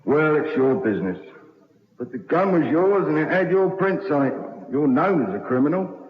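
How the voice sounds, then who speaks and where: An actor playing a plain-spoken, matter-of-fact prison warder, deferential yet blunt, in old radio drama style. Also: male voice, fairly high pitch, expressive, normal speed, lightly acted. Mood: blunt, sympathetic. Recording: echoey, dull old radio recording.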